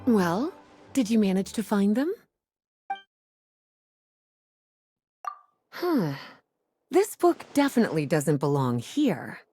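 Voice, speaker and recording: A languid adult woman mage in a video game. Her voice is soft-edged and mellow with lively swings in pitch, playfully teasing over faint music. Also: a little slow. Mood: thoughtful.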